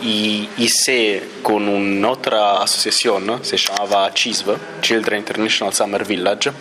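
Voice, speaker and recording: A man's voice: fairly low pitch, level tone, unhurried, bright, forceful tone. A young man from Italy, a native Italian speaker, answering interview questions hesitantly and searching for words. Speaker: exchange student guest.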